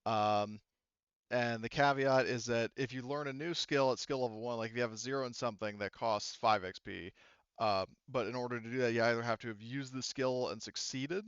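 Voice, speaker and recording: Male game master, smooth and informal, halting as he instructs; close, dry, slightly muffled sound. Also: medium pitch, steady tone, a bit bright, no echo. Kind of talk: explaining tabletop game rules, casual.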